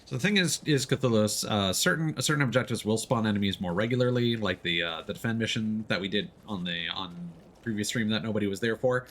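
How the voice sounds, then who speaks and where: A man doing casual live gaming commentary and chatting with viewers, excitable and quick to exclaim when surprised. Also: on the low side, slight background noise. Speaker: video game streamer.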